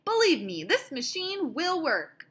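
A woman's voice: very high-pitched, wildly swooping pitch, measured pace, a bit bright, voice pushed up, full character voice. Woman reading as an emphatic, friendly old inventor man. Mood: excited. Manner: emphatic, exclaiming. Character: excited elderly male inventor, friendly and encouraging. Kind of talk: read-aloud picture book dialogue.

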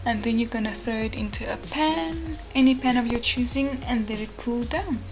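Girl in her 20s vlogging, highish voice, lots of vocal fry, music playing. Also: normal speed. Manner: informal, addressing viewers directly. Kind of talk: casual vlog chat and recipe voice-over.

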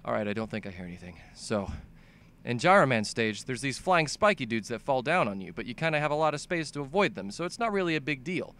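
A man's voice: medium pitch, big pitch swings, fast, a bit bright, clean tone. A young man narrating a comedic scripted video essay about games in an exaggerated, sweary, ranting manner. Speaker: video game commentator.

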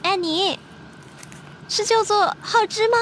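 A woman playing a chatty, bossy young country girl in an audio drama, brisk and blunt. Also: extremely high pitch, fairly bright tone, exaggerated voice. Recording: faint background noise.

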